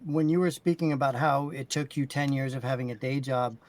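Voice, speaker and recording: A man's voice: mid-range voice, level tone, brisk. A man reminiscing casually in an informal conversation.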